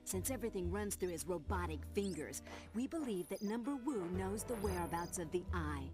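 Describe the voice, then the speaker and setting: Woman as an animated spy mission handler. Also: fairly low pitch, brisk, close to an everyday voice. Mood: dramatic briefing. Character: brisk, playful female spy mission handler. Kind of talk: video game briefing and dialogue. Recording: game music behind the voice.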